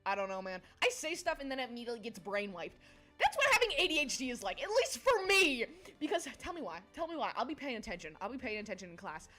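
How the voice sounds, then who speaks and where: A woman's voice: on the high side, sing-song, fast, sharp tone, faint music behind. A young adult woman streaming, chatting casually with viewers, giggly and scatterbrained, jumping between topics. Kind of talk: casual livestream chat.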